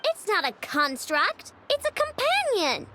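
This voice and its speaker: A woman's voice: very high-pitched, huge pitch swings, unhurried, heavy background noise, exaggerated voice. A woman playing an earnest, spunky young girl inventor in a video game, with a childlike and blunt manner.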